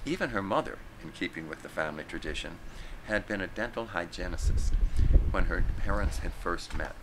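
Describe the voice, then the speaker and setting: A man reading a literary story aloud in a theatrical, deliberately phrased way, now and then stumbling over the text. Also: low voice, expressive, background noise. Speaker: story reader. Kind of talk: scripted narration, literary story read aloud.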